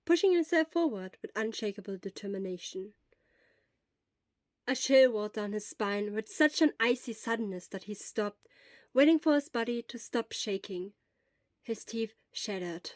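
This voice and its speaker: A woman reading a fan fiction story aloud as narrator, speaking softly and sometimes dropping to a whisper. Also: on the high side, no echo.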